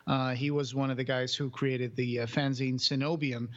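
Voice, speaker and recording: A man's voice: medium pitch, flat delivery, normal speed, a bit bright, muffled recording. A man co-hosting a podcast in casual chat, rambling with many restarts and fillers. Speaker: podcast co-host.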